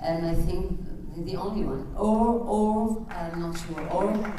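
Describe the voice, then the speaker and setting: Young woman, slow and halting, echoey. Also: fairly low pitch. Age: young adult. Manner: hesitant, broken phrasing. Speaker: actress at a press conference. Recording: echoey hall, distant mic, crowd and noise.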